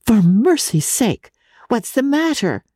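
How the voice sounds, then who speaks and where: Woman acting excitable high-voiced anxious younger sister. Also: takes it slowly, husky, no echo, clean studio sound, full character voice. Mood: alarmed. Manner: breathless, exclamatory, fussing.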